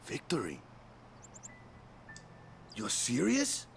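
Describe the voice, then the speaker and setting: Casting: humble, laid-back young island jock for a game, animated pitch swings, music underneath. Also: male voice, very slow, gravelly, full character voice. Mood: modest, doubtful. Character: easygoing, humble young male island athlete. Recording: game audio with music over it.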